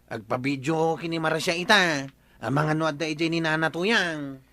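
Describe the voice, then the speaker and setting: A man's voice: a little high, moderate pace, pushed and bright, no echo. A man acting a gossipy, conversational villager in an Ilocano radio comedy drama.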